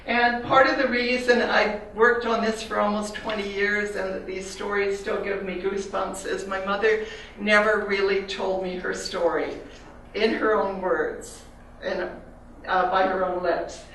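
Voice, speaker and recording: An older woman with a Canadian accent speaks reflectively to an audience about her art, pausing now and then with fillers. Her voice sits at a middle pitch. She is heard from a distance in a very echoey hall, with faint room noise behind her. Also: level tone, fairly bright tone. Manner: reflective, personal, pauses with fillers. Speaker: artist giving a talk. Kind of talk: slide talk to an audience.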